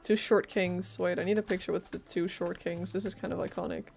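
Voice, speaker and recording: A woman's voice: on the low side, fairly even intonation, brisk, creaky, darkish tone, faint music behind. A woman doing casual live gameplay commentary, informal, playful and reactive as a streamer.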